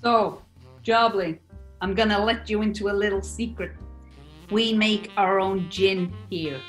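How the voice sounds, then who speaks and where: A woman's voice: mid-range voice, steady tone, a little slow, soft background music, lightly acted. A woman playing a bossy male gangster club owner with a New York accent, menacing yet genial, in a 1940s radio comedy. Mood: smooth, genial. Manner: bossy, smooth-talking. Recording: echoey room.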